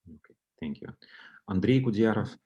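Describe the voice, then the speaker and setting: A man's voice: on the low side, animated, normal speed, warm tone, dull audio. A man hosting an online alumni session, calling on people in turn with many hesitant fillers. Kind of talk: moderating a video call. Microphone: video call microphone.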